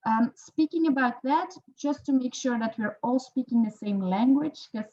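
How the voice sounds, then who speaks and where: A woman's voice: fairly high pitch, moderate pace, warm tone, muffled recording. Hesitant Dutch-accented woman lecturing. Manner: hesitant, frequent fillers and self-corrections. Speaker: physiotherapy researcher and lecturer. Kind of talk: online lecture presentation.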